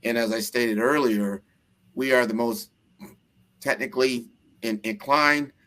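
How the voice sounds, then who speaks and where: A man's voice: mid-range voice, a little slow, fairly bright tone. An American man hosting a casual interview, talking earnestly and rambling with frequent restarts. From United States.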